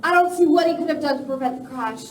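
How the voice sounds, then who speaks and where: A woman's voice: high-pitched, quick pace, distant mic, natural voice. A woman playing a puzzled but resolute western daughter under siege in an amateur radio play. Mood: puzzled, urgent. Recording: echoey hall, loud crowd and noise.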